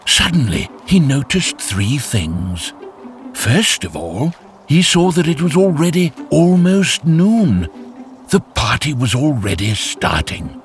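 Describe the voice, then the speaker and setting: Lively male fairytale storyteller. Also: fairly high pitch, slow, soft background music. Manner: expressive, animated storytelling. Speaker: storyteller narrator. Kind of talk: scripted fairytale narration for children.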